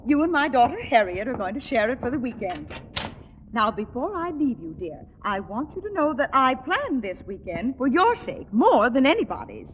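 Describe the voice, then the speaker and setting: An actress playing a chirpy, bossy middle-aged wife in 1950s radio comedy, gushing and fluttery, on an old recording. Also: female voice, sits high, sing-song, normal speed, muffled recording, slight background noise. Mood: brisk, coaxing.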